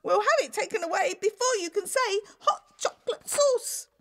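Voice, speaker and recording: Woman as a high-pitched, dutiful guard captain. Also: expressive, moderate pace. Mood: eager. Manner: formal military address.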